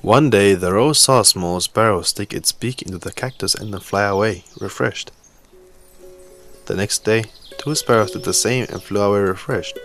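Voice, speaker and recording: Low-voiced male storybook narrator over music. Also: moderate pace, fairly bright tone. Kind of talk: scripted children's story narration. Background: music with birdsong.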